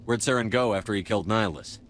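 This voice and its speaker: An actor playing a serious military squad commander in a video game, questioning people in a direct, controlled way. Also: male voice, fairly low pitch, quick pace, fairly bright tone, slight background noise, lightly acted. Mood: stern. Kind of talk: video game dialogue acting.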